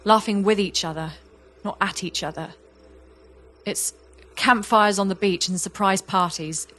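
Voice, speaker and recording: A woman's voice: medium pitch, measured pace, a bit bright, lightly acted. A woman playing a reflective young artist in an audio play, speaking in an earnest, confessional way. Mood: heartfelt, resolute. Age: young adult. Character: young woman artist, reflective, warm, wistful about an old friend. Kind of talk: audio play monologue and dialogue.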